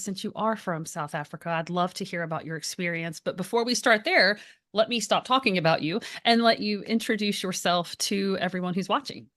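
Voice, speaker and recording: A woman's voice: lowish voice, a bit bright. A woman hosting an interview, upbeat and eager as she introduces and questions her guest. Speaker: host.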